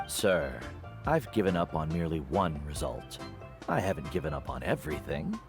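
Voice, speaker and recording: An actor playing a wise, principled older guide in a video game, speaking in a measured, earnest, explanatory way. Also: male voice, very deep voice, sing-song. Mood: quiet pride. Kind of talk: scripted video game voice acting. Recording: loud game music behind voice.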